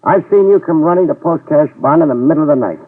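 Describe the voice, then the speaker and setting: A man's voice: fairly high pitch, lively intonation, quick pace. A man playing a hardboiled private investigator who wisecracks and narrates in 1950s radio drama style, on an old recording. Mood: wry. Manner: dry wisecracking delivery. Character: hardboiled male private eye, wry and tough. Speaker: lead actor and narrator. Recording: tinny, distant old radio transcription.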